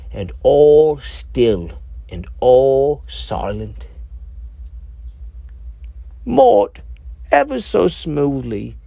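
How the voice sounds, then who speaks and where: A man reading his own scripted dark comedy story as narrator, deliberate and dramatic with measured pauses. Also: mid-range voice, gravelly, no room sound.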